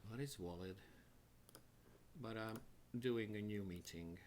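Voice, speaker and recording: A man hosting a live show from his home studio, talking haltingly and restarting as he sorts out his setup. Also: fairly low pitch, darkish tone, a little hiss or hum. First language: Hungarian. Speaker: host of a live online show. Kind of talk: casual live-stream talk to viewers.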